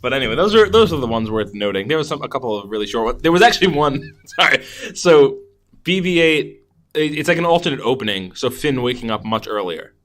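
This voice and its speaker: A man's voice: mid-range voice, very animated, brisk, fairly bright tone. A man co-hosting a podcast, chatting casually about films and often chuckling as he recounts scenes. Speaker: podcast co-host. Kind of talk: casual film chat.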